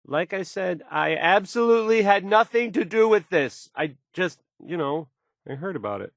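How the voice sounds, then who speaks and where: An actor playing a nervous, awkward young radio DJ in a game, hesitant, stammering and defensive. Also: male voice, high voice, lively intonation, moderate pace, vocal fry, no room sound, clean studio sound. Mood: defensive, anxious. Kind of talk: rambling radio DJ patter.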